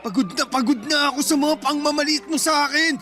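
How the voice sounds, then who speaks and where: A man's voice: very high voice, fast, bright, forceful tone. A man playing a resentful breadwinner brother in his thirties in a Filipino radio drama, with a Filipino accent and an emotional, wounded delivery. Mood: hurt anger. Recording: music bed under the voice.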